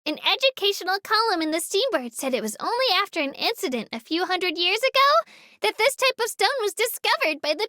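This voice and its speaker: A tiny, dutiful female creature guides tourists in a video game. The voice is extremely high and childlike, with a bright edge. The delivery is lively, earnest and polite, like a scripted announcement. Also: dry recording, clean studio sound, full character voice. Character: cheerful, dutiful little female Melusine creature working as tour guide. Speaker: tour guide.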